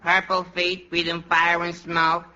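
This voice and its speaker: An actor playing a flippant, slangy, streetwise knave in a 1950s radio comedy, offhand and a bit defensive. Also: male voice, sits high, completely flat delivery, a little slow, pushed and bright, full character voice. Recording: muffled, very noisy old radio recording.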